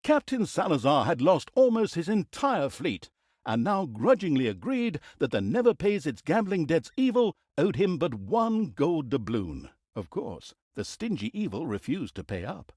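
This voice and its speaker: A theatrical male storyteller narrates a comic fantasy game with arch, droll relish. His fairly high voice swoops dramatically up and down. The delivery is wry and storybook-like, in a dry, close studio sound. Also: sing-song, moderate pace, darkish tone, husky, no echo.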